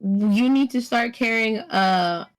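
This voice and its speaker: A woman's voice: a little high, slow pace, poor audio. A woman co-hosting a casual podcast chat, playful and teasing, laughing often. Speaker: podcast co-host.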